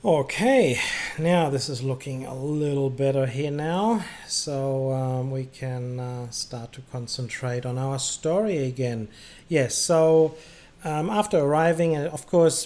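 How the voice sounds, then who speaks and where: A man's voice: medium pitch, a little mellow. A man hosting a gaming livestream, chatting casually and hesitantly between air traffic controller calls. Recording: clearly noisy background.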